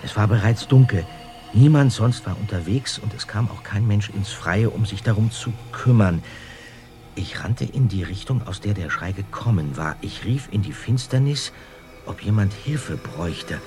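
Male reader, low voice, suspenseful reading over a light music bed. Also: lively intonation, moderate pace, darkish tone. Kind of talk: dramatic reading from a book.

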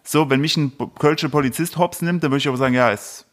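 A man's voice: fairly high pitch, fast. Hesitant young man, teasing. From Thuringia, eastern Germany. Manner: hesitant, many fillers, teasing. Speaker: podcast co-host. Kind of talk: casual podcast chat.

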